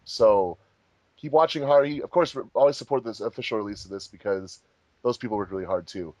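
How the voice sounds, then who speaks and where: A man's voice: on the low side, a little fast, darkish tone, dry recording. A man hosting a casual group chat in a jokey, rambling, giggly way. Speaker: host of a fandub group commentary.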